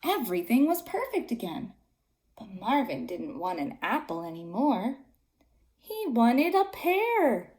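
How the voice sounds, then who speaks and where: Very animated woman reading to young children. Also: fairly high pitch, measured pace, reverberant. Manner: simple, emphatic phrasing for small children. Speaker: teacher reading aloud to children. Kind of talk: expressive storybook read-aloud for young children.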